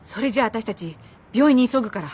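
Woman playing a brusque, high-voiced bossy woman. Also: expressive, fast, a little mellow, a little hiss or hum, close to an everyday voice. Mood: businesslike. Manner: curt, commanding. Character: brusque, bossy, exasperated female senior colleague. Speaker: actor. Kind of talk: radio drama acting.

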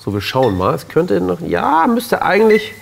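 Lively man hosting a cooking show. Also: high-pitched, normal speed, gravelly. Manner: chatty, lively, addresses viewers directly. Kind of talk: casual cooking show chat. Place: studio kitchen set, indoors. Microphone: lapel mic.

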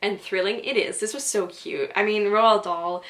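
A woman's voice: medium pitch, fairly even intonation, normal speed, fairly bright tone. A young woman from Belgium whose first language is Dutch, chatting eagerly about books and reading blurbs aloud at home. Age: young adult. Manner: enthusiastic, gushing with repeated praise. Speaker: book vlogger. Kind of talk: casual chat and reading aloud. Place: small room at home, indoors.

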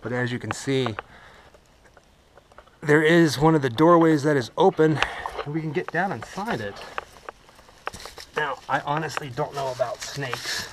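A man's voice: fairly high pitch, unhurried, pauses often. Young guy, chatty explorer vlogger, handheld camera in the woods, noisy audio. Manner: chatty, swears when startled. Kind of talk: casual exploring vlog. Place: woods and dark concrete ruins outdoors. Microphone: handheld action camera.

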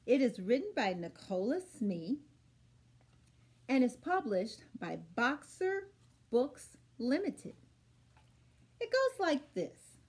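A middle-aged woman hosting a children's storytime, speaking expressively and deliberately for young listeners. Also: high voice, very animated, a little mellow, breathy edge. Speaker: children's librarian, storytime host. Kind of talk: children's storytime, read-aloud and instruction. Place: small indoor room.

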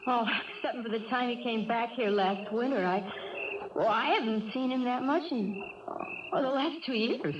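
A woman's voice: a little high, close to an everyday voice. A woman acting a sweet, hesitant small-town country girl with a Southern US accent in a 1970s radio drama. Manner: folksy, halting. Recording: dull, noisy 1970s radio recording.

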